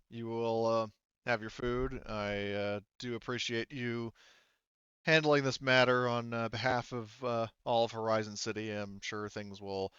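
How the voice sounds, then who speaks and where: A man's voice: on the low side, a bit bright, clean tone, no room sound, dull audio, close to an everyday voice. A man voicing a composed, businesslike woman in charge of a colony office in a tabletop game, speaking formally and with hesitation.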